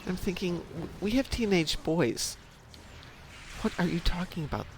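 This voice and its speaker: A man's voice: sits high, animated, a little slow, slight background noise, low-quality recording. A middle-aged man hosting a casual stream chat, telling rambling stories and making wry jokes. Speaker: stream host. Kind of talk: casual group chat while gaming.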